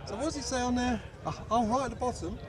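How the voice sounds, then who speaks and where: A chatty man with a fairly high voice, throwing out friendly matey questions. He talks outdoors, with a faint hum of noise behind him. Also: quick pace. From England. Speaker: vlogger and interviewer. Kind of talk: casual chat and on-the-spot interviews. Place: outdoors at a busy seaside event.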